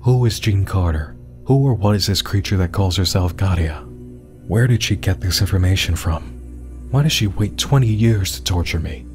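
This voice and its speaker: A man reads as a haunted, defiant male investigator in a web horror mystery. His low voice gives a dramatic first-person account, with music clearly underneath. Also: animated, moderate pace, darkish tone.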